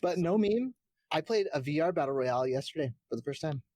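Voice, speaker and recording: A man's voice: fairly high pitch, animated, fairly quick, warm tone, no room sound, clean studio sound. A man in casual gaming chat as a podcast guest, explaining things in a rambling way. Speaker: podcast guest, game streamer.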